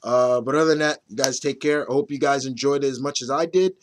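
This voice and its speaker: Male vlog reviewer, thirties, mid-pitched, a little raspy from a cough, casual and halting. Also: a little hiss or hum. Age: 30s. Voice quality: slightly raspy. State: mildly under the weather with a nagging cough. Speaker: movie reviewer.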